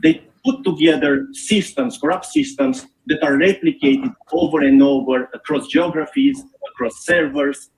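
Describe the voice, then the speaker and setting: A middle-aged man from Romania, an investigative journalist, gives an earnest, measured talk at a forum with frequent filler pauses. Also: fairly high pitch, expressive, creaky, poor audio. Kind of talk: prepared public talk.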